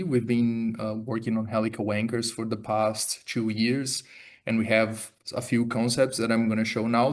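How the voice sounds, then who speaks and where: A man with a Brazilian accent presents his research in a lecture theatre. His delivery stays flat and even in pitch, relaxed and full of fillers and small restarts. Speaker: research fellow presenting a webinar talk. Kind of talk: academic presentation.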